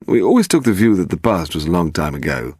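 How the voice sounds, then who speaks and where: A British man voicing a stuffy, reproving gentlemen's club secretary in an audiobook, pompous and prim. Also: low-pitched, sing-song, quick pace, no room sound, clean studio sound. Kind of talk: audiobook character dialogue.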